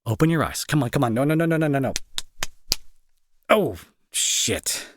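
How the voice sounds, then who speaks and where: A man's voice: mid-range voice, expressive, moderate pace, a little hiss or hum, lightly acted. A young man playing an irritable, sarcastic bully who scolds with hidden worry, in an intimate roleplay audio drama. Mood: alarmed, concerned. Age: young adult. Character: irritable young male bully, gruff but secretly worried.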